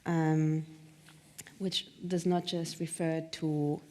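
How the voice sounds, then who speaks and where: A woman with a slightly low voice hosts a live stage interview. She thinks aloud as she goes, choosing her phrasing tentatively. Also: little pitch movement, slow, a little mellow. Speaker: talk host. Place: live stage event at a theatre.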